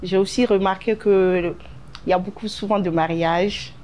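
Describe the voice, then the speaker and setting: A woman from Guinea speaks as a beautician and salon owner. She pauses often and restarts words. Her voice is at a middle pitch, with a little noise behind it. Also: steady tone, darkish tone. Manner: hesitant, searching for words. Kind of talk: casual interview answers.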